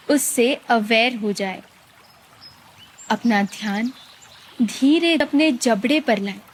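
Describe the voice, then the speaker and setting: A woman guides a meditation, giving calm, gentle instructions with long pauses. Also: fairly high pitch, a little hiss or hum.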